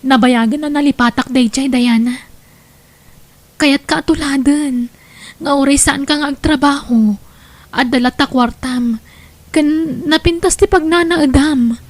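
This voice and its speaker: A woman's voice: sits high, a little slow, pauses often, no echo. A woman playing a young, pragmatic mistress in an Ilocano radio drama, pleading her case in a matter-of-fact way. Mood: defensive.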